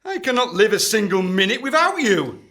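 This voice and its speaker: An actor playing a pompous, pushy duke in a comic period radio play, wooing in florid, melodramatic gushes. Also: male voice, high-pitched, very animated, moderate pace, bright, forceful tone, heavily acted. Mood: gushing, ardent.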